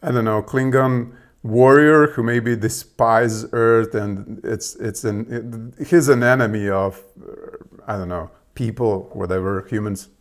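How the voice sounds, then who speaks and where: A man's voice: lowish voice, fairly even intonation, a little slow. A man with a Serbian accent whose first language is Serbian, talking casually and hesitantly with many fillers. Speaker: video host testing an AI assistant. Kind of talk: casual chat.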